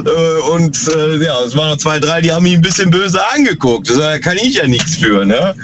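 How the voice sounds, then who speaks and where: A man calling in to a radio show, telling a story in a relaxed, rambling way. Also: on the high side, fairly quick, lo-fi sound, noisy background. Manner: relaxed, rambling, laughs at his own story. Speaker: caller. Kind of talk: phone-in call.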